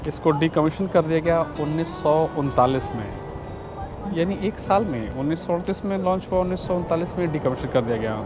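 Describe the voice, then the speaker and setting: A man's voice: on the high side, expressive, a little fast, music in the background. A man giving a casual walking tour outdoors, mixing English words into his speech and sometimes searching for words. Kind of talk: casual tour narration to camera. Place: outdoors on city streets by a river.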